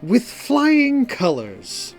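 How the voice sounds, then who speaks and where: A man voicing a smooth, practiced, aloof mage with a condescending way of talking in a fantasy story. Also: on the high side, very animated, a little slow, darkish tone, exaggerated voice. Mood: smooth, evasive. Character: suave, aloof male mage with secrets. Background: background music.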